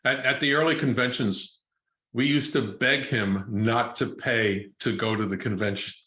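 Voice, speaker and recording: A man's voice: medium pitch, fairly even intonation, moderate pace, sharp tone, roomy sound. An older man reminiscing casually on a video call, often repeating words as he gathers his thoughts. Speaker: longtime convention attendee.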